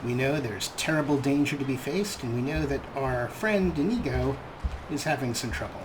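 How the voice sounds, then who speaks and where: A man's voice: medium pitch, normal speed, noisy background. A man hosting a gaming let's play, commenting in character with theatrical comic role-play.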